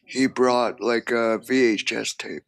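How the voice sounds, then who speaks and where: A man's voice: medium pitch, fairly even intonation, slow pace, creaky, fairly bright tone. A man speaking as a guest in a casual chat, hesitant, with frequent ums and "you know". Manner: hesitant, many ums and restarts, says "you know" often.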